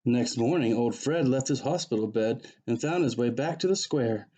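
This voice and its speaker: A man with a soft, mellow voice reads a children's picture book aloud. He follows the rhyming verse as a storyteller, at an ordinary middle pitch. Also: moderate pace. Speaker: pastor reading a children's story.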